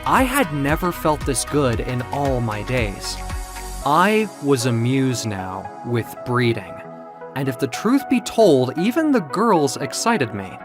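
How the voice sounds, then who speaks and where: A man with a mid-pitched voice narrates a dramatic first-person story. His delivery is theatrical and emotive, rising and falling widely, with music underneath. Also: big pitch swings, takes it slowly.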